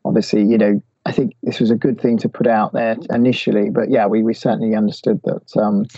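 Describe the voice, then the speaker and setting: A man's voice: lowish voice, level tone, a little fast, dark tone, breathy edge, no echo, highs cut off. A man answering interview questions, hesitant, with many restarts and fillers. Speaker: guest expert.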